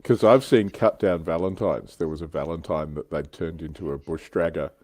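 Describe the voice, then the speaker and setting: A man's voice: extremely low pitch, moderate pace, a little mellow, no room sound. A middle-aged man with an Australian accent, swapping anecdotes casually in a wry, colloquial way.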